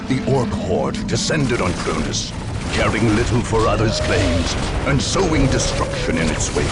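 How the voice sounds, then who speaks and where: A man performing as a solemn, grave chronicler of war, narrating a game cutscene in a portentous, dramatic manner. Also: lowish voice. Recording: loud music behind the narration.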